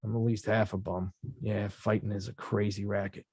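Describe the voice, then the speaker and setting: A man reading the part of a rough-talking young male boxer at a script table read, slangy and self-mocking. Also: fairly low pitch, flat delivery, normal speed, darkish tone, dry recording.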